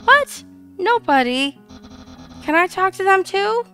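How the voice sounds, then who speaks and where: A woman as a high-pitched, excitable skeleton captain. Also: slow pace, faint music behind, full character voice. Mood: excited. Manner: grandiose, exclamatory. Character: loud, excitable, proud male skeleton guard captain. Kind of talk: dramatic video game dialogue reading.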